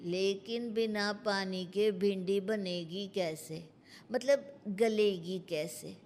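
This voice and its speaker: A woman's voice: medium pitch, takes it slowly. Inexperienced, puzzled village man voiced by a woman, musing storybook dialogue, faint noise behind.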